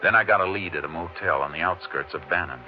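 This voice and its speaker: An actor playing a steady, methodical Texas Ranger investigator in a terse, measured way, in 1950s radio drama style. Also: male voice, sits low, faint music behind, natural voice. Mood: narrating. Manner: terse, measured, matter-of-fact. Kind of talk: 1950s radio western detective drama acting. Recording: dull, noisy old 1950s radio recording.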